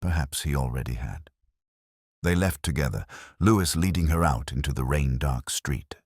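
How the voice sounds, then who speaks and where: A man reading a scripted first-person detective story in a brooding, measured, literary manner. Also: very deep voice, animated, darkish tone, husky, no room sound, studio quality. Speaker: audiobook-style narrator.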